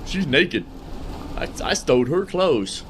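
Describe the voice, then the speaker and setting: A man's voice: on the high side, sing-song, slow, noisy background. A man doing casual live gameplay commentary, thinking aloud and often repeating himself. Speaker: game streamer.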